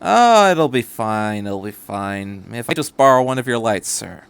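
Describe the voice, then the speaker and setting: A man's voice: mid-range voice, lively intonation, measured pace, creaky, clear, resonant tone, dry recording. Man playing a courteous, easygoing middle-aged man. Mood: obliging.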